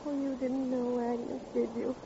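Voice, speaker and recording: Frightened fragile woman, high pitch, slow halting delivery, distraught, 1950s radio melodrama, muffled vintage sound. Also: level tone, noisy background. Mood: wistful. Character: fragile, frightened, haunted woman, victim of an attack.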